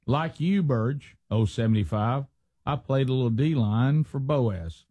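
A man, hosting a radio show and telling stories casually, animated, often repeating himself. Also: mid-range voice, measured pace, no echo, highs cut off. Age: 60s. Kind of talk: casual storytelling and banter.

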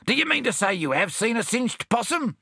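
A man's voice: very high voice, sing-song, brisk, creaky, bright, forceful tone, husky, no room sound, clean studio sound, voice pushed up, heavily acted. A man playing a blustering, hot-tempered adventurer in a storybook, with an indignant, roaring delivery. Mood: demanding. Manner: roaring, indignant, colourfully abusive.

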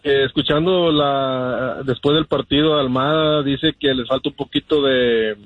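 A man's voice: mid-range voice, steady tone, measured pace, no echo. A man calling in to a sports radio show, casually giving his opinions over a phone line. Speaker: first-time caller to sports radio show. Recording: dull phone call audio.

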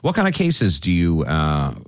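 A man's voice: low voice, huge pitch swings, moderate pace, no room sound, studio quality. A middle-aged man hosting a talk show, telling stories in a chatty, self-mocking way with many restarts. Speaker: radio show host. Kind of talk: casual talk-show chat.

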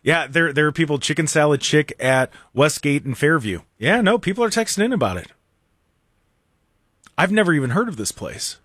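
A male talk radio host with a slightly high, very animated voice. He banters in a casual, teasing, conversational way, recorded close and dry as in a studio. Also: moderate pace, slightly rough, no room sound. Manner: teasing, conversational, reads out listener texts.

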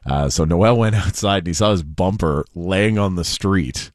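A man's voice: sits low, normal speed, dry recording, studio quality. A man co-hosting a radio show, chatting casually through news stories and laughing often. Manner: chatty, laughs often, reads quotes aloud.

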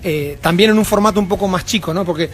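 High-voiced Uruguayan man, rambling. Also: quick pace, a bit bright. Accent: Uruguayan Spanish. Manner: rambling, many fillers and restarts. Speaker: band member, interview guest. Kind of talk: casual interview chat. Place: backstage at a music venue.

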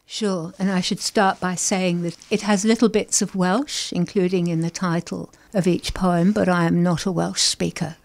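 A middle-aged woman poet from England reads her work and talks about it as a radio guest. Her voice is low with a gravelly, creaky edge. Her delivery is deliberate and careful, with the lines set apart. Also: flat delivery. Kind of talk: poetry reading and interview.

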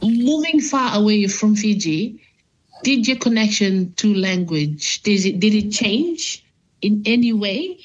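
A woman hosts an interview, her voice sitting at an ordinary pitch for a woman. She puts her questions in a casual way and answers with short, encouraging reactions. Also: expressive, slow pace, muffled recording.

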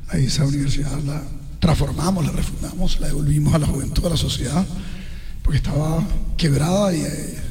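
A man's voice: fairly high pitch, fairly quick, mellow, in a large hall. A middle-aged man from the Colombian Caribbean coast with a coastal Colombian accent, a political candidate talking earnestly as a guest on a radio interview, piling up lists of points.

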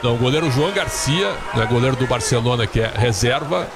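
A man's voice: mid-range voice, lively intonation, a little fast. A Brazilian man working as a sports broadcast commentator, giving live, unscripted running commentary with frequent tag questions. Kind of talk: live ad-libbed commentary on a team leaving its hotel. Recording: noisy urban crowd ambience. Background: loud crowd outdoors.

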